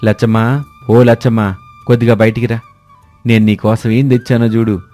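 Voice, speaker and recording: A man's voice: lowish voice, warm tone, close to an everyday voice. A man voicing a loving, hardworking poor village husband in a story, affectionate and appreciative. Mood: excited, affectionate.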